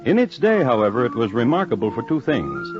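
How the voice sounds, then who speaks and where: A man narrating an old radio crime drama in a scripted, deadpan, droll manner. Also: medium pitch, brisk, natural voice. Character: droll storyteller of historic crimes. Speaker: host narrator. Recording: dull old radio recording, music behind.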